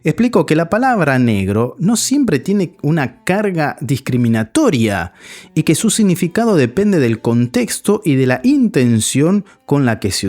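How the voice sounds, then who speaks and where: Argentine friar talking to camera. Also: male voice, mid-range voice, a little mellow. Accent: Argentine Spanish. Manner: conversational, addresses viewer directly. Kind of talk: casual video commentary.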